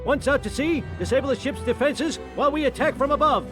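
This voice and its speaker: A man's voice: extremely high pitch, fairly quick, music in the background. An actor playing a crotchety old grandfather giving wartime orders in a cartoon game cutscene. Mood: barking orders. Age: older. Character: cranky old grandpa barking war orders.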